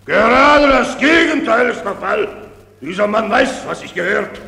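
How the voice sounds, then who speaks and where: An actor in a German radio drama playing a pompous, doddering general who gives pedantic, repetitive orders in a creaky voice. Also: male voice, high voice, animated, measured pace, pushed and bright, heavily acted. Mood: pompous approval. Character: pompous, doddering male general inspecting troops. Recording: very echoey hall, long reverb.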